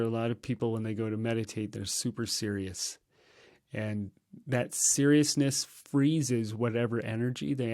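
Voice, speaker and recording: A man's voice: on the low side, darkish tone, no echo, clean studio sound. A man in his thirties, a meditation teacher whose first language is Russian, talking casually on a podcast with a playful, joking manner. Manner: playful, joking, bursts into laughter.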